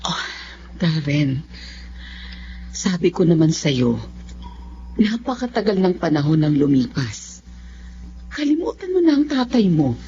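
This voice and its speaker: A woman's voice: low-pitched, big pitch swings, a little slow, long pauses, mellow. An actress playing a long-suffering, pleading battered Filipino mother in a radio drama. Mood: weary, resigned. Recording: muffled recording with background hum.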